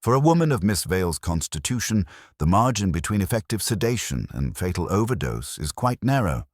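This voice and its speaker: Man reading a guarded doctor, clinical and measured, very deep voice. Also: sing-song, no echo, clean studio sound. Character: composed, guarded male doctor.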